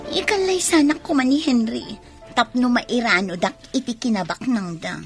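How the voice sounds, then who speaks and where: A woman playing a scheming young female student with a Filipino accent. Her voice sits high and she sounds anxious, in comedy radio drama style over faint music. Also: normal speed, lightly acted. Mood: insistent, scheming.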